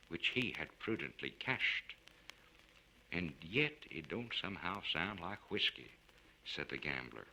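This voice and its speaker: A man giving a theatrical, measured and formal narration of a literary short story. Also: deep voice, bright, forceful tone. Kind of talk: scripted dramatic narration. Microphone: studio recording for LP. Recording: noisy vintage spoken-word record.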